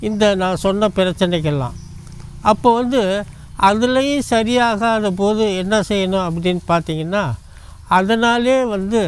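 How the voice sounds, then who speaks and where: An older man with a fairly high, smooth, resonant voice explains things straight to the camera. He speaks at an unhurried pace and lists items one by one. He is recorded outdoors among trees on a clip-on mic. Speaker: traditional medicine practitioner.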